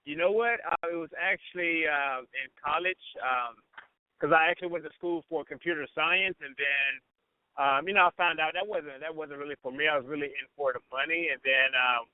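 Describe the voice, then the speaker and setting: A man's voice: a little high, moderate pace, no echo, narrow, tinny audio. A man talking casually as an interview guest, informal and repetitive, often saying "you know" and "man". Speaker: radio personality, interview guest. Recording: band-limited radio phone-in sound.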